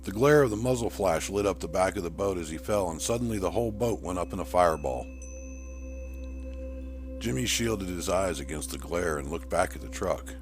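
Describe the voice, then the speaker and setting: Low-voiced man narrating a story over music. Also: expressive, fairly quick. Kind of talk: scripted audiobook narration. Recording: music bed under voice. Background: background music.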